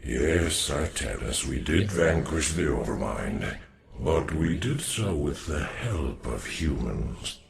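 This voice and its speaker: Game casting: wise, ancient alien warrior, extremely low and slow, solemn, gravelly, music underneath. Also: male voice, expressive, exaggerated voice. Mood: grave, cautioning. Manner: solemn, deliberate, formal. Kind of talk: video game voice acting.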